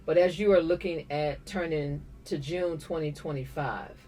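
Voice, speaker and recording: A woman in her thirties interviewing a candidate, chatty, with quick affirmations. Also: low-pitched, dark tone, far from the mic. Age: 30s. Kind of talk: casual interview.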